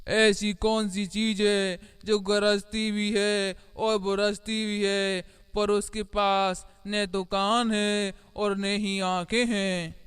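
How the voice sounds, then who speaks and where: A man's voice: high voice, level tone, takes it slowly, few pauses, a bit bright, clear, resonant tone. A man voicing a boastful, scheming deer in a cartoon fable, pushy and self-important. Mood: smug. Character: boastful, scheming male deer rival for the throne. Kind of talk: animated moral story acting.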